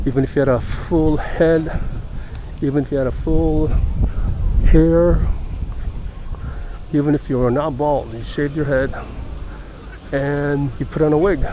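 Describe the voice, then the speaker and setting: An older man giving casual walking-tour narration as a travel vlogger, halting and pausing mid-sentence. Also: a little high, highs cut off. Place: outdoors in busy city streets and squares. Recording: outdoor city street noise.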